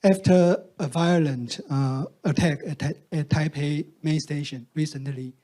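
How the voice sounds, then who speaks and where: A man, Mandarin speaker, answering on a discussion panel. His voice sits a little high but sounds dark and mellow, and he talks slowly. He pauses often, restarting sentences and filling gaps with "uh". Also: fairly even intonation. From Taiwan. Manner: halting, many fillers and restarts. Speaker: panelist from a fact-checking group.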